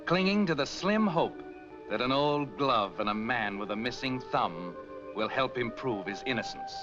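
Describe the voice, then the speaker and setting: Casting: man playing ominous 1940s mystery narrator, deliberate and theatrical, very animated pitch, over prominent music. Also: close to an everyday voice. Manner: portentous, deliberate. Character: ominous, sardonic male narrator of a crime mystery. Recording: dull 1940s film soundtrack, loud music.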